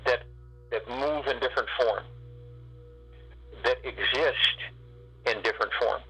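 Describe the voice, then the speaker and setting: A man guiding a spiritual meditation, speaking in slow, drawn-out phrases with long pauses. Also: medium pitch, sharp tone, slightly rough, faint music behind, rough recording. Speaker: meditation guide.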